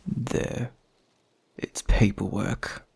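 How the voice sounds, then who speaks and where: A man voicing a surly, boastful young werewolf underling in an audio roleplay, sullen and defensive, with a gravelly, husky voice. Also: extremely slow, darkish tone.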